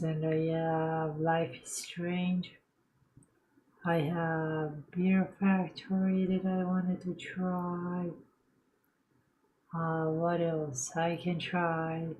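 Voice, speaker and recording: Flat low-key female streamer. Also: a little hiss or hum, rough recording. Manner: low-key, muttering, swears casually. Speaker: game streamer. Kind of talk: casual streaming chat.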